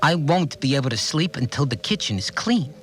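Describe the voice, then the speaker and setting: An actor playing an anxious, obsessive cleaner who fretfully recounts events in short, plain sentences in an audio drama monologue. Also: male voice, fairly high pitch, expressive, a little fast, lightly acted. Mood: uneasy, restless. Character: anxious, obsessive male cleaner confined in a mysterious place. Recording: music bed under the voice.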